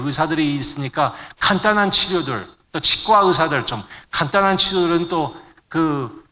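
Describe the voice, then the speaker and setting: A man's voice: fairly high pitch, pushed and bright. A middle-aged man giving a sermon to a congregation, searching for words now and then and mixing in English terms. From Korean immigrant family in the United States. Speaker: preacher. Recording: long hall reverb.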